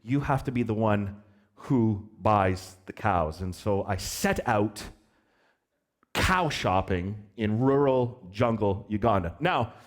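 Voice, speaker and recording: A man preaching a sermon as pastor, telling a story in an animated, joking way and asking the children questions. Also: lowish voice, slow pace. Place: church hall.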